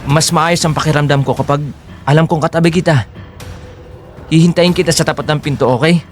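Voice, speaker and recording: A man acts out a possessive boyfriend in a Filipino audio drama. His somewhat high male voice feigns sweet concern, coaxing in Tagalog sprinkled with English. Music plays faintly underneath. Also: fairly even intonation, a little fast, natural voice.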